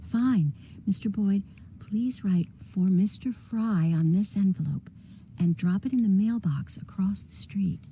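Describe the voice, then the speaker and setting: Very soft-toned, discreet secretary played by an actress. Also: female voice, mid-range voice, a little slow, a little hiss or hum, natural voice. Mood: hushed, firm. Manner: hesitant, polite, secretive. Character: discreet, deferential female police secretary. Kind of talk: naturalistic video game voice acting.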